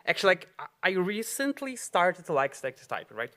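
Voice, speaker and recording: A man's voice: high voice, sing-song, fairly quick, pushed and bright. A man from Brazil with a Brazilian Portuguese accent, giving a conference talk in a loose, chatty way full of fillers and small laughs. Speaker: conference speaker. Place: auditorium.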